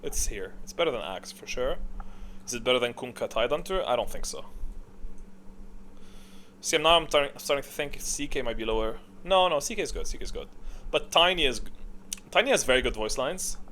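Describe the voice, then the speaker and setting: A man's voice: medium pitch, animated, fairly quick, long pauses, sharp tone. A man giving casual, off-the-cuff gaming commentary, thinking aloud in a rambling, blunt way.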